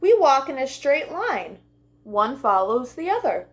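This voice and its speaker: A woman's voice: high voice, sing-song, a little slow. A woman voicing a young female lamb in a children's storybook, curious and clingy, with an earnest, pleading manner. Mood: matter-of-fact.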